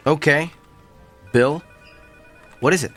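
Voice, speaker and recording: An actor playing a blunt, cerebral doctor in a radio drama, with a precise, pedantic, clinical manner. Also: male voice, on the high side, slow pace, fairly bright tone, soft background music. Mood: matter-of-fact.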